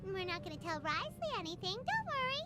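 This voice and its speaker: A tiny fairy-like girl companion from a video game, with a very high, squeaky voice that leaps up and down wildly. She is chirpy and eager and calls herself by name. Loud game music plays under her. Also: big pitch swings, a little fast. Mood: coaxing. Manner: chirpy, eager, talks about herself in third person.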